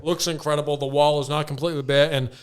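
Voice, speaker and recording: A man's voice: fairly high pitch, steady tone, fast, clean tone. A man hosting a podcast in a casual, conversational style. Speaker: podcast host.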